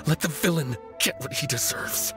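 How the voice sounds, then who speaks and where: A man playing a calculating, vengeful novelist in a video game, speaking in a measured, theatrical, literary way. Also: on the high side, music in the background, close to an everyday voice. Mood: coldly persuasive. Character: eloquent, brooding male novelist, calculating and vengeful.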